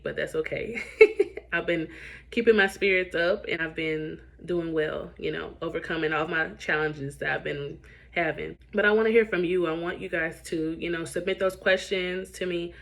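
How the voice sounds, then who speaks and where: A woman in her late thirties with a raspy voice that sounds worn out after a long day. She talks straight to the camera like a coach, earnest and easygoing, and often stops to check in with the listener. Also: sits low, fairly even intonation, moderate pace, fairly bright tone. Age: 30s. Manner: earnest, keeps asking "right?". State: tired. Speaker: parenting coach. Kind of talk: casual talk to camera.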